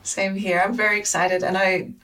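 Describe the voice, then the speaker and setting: A woman's voice: medium pitch, little pitch movement, moderate pace, sharp tone. An Australian woman in her forties talks as an academic interview guest. She speaks thoughtfully and carefully, hedging as she reflects. Her microphone sounds a little distant. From Sydney, Australia. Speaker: guest, media studies academic.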